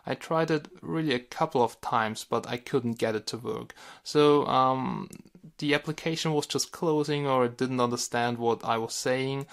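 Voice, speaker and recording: A man's voice: mid-range voice, creaky. Man with a German accent reviewing gadgets. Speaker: tech product reviewer and video host. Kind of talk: casual hands-on gadget review.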